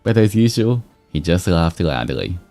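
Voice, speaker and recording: A man hosting a livestream, moving between expressive story reading and casual, amused chat. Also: low voice, a little slow, faint music behind. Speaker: livestream host reading fan fiction aloud.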